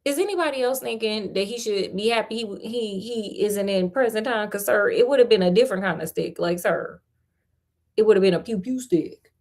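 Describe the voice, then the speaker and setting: A woman with an American accent reads a fairy tale in an animated style, with playful, chatty, slangy asides. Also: medium pitch, fairly quick. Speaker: storyteller and host.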